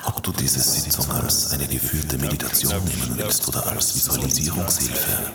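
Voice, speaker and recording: Radio drama: controlling meditation voice, gravelly half-whisper, suggestive and slow, drenched in echo. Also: male voice, extremely low pitch, a loud crowd. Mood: hypnotic, soothing. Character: mysterious hypnotic male voice guiding a meditation. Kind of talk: guided meditation in a radio play. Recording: echoing voice under loud music.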